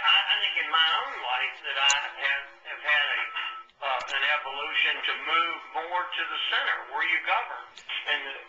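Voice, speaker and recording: A man with a fairly high, piercing voice gives political remarks on a conference call. He speaks in a measured, halting way. The telephone sound is muffled and echoey. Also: sharp tone. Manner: halting, measured, with long hesitations. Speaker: former elected politician. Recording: muffled phone line, distant, echoey, faint noise.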